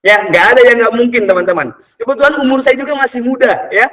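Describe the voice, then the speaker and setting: A man in his early twenties giving an energetic motivational talk to an audience, repeating phrases and calling out to the crowd. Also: very high voice, fast talker, recorded from a distance. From Indonesia. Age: 20s. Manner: energetic, repetitive, calls out "teman-teman" often. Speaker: motivational speaker.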